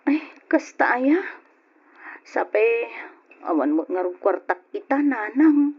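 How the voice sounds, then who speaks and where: An actress with an Ilocano accent playing an emotional, hesitant, pleading wife in an old radio drama, with a husky, expressive voice. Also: female voice, fairly high pitch, takes it slowly, heavily acted. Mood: hesitant, uneasy. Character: Irene, emotional wife and daughter, pleading, torn over money. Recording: dull, lo-fi old radio recording.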